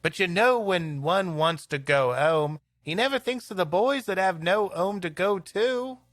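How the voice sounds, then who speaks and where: Man playing a plaintive, high-pitched young schoolboy. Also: unhurried, fairly bright tone, no room sound, clean studio sound. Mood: miserable, disappointed. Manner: plaintive, sobbing. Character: tearful, gloomy young English schoolboy, sorry for himself.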